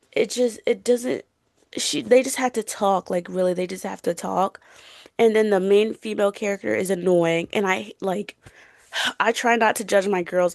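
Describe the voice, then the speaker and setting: A woman's voice: mid-range voice, creaky, darkish tone, dry recording, clean studio sound. A young woman co-hosting a casual book chat in an informal, emphatic, opinionated way. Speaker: book podcast co-host.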